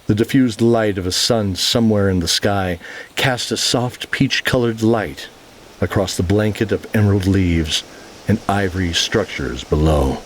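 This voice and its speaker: A man's voice: lowish voice, a little slow, a little hiss or hum, low-quality recording. A man working as narrator, reading scripted fantasy narration. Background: music.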